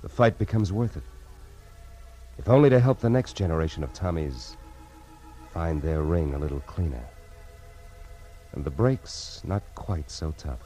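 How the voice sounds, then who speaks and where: An actor playing a world-weary, hard-boiled private detective with an American accent, speaking deliberately in 1940s radio noir style. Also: male voice, rumbling low, animated, mellow, soft background music. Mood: reflective.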